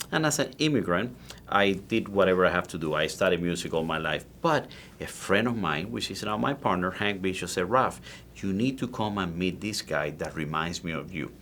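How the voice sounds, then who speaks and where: A man in his forties with a Cuban Spanish accent, a native Spanish speaker telling his story as a guest in a casual interview, animated and full of restarts. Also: sits low, a little hiss or hum. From Cuba. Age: 40s. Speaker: guest, cigar maker.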